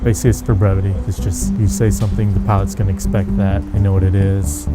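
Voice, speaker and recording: A man's voice: deep voice, little pitch movement, quick pace. A man who works as an air traffic controller, talking informally in an interview. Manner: informal, peppered with "like". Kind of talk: casual documentary interview. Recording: music bed behind voice.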